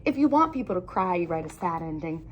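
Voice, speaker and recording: Female storyteller for children's read-aloud, very expressive swooping delivery, emphatic phrasing, noticeable background noise. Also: on the low side, brisk.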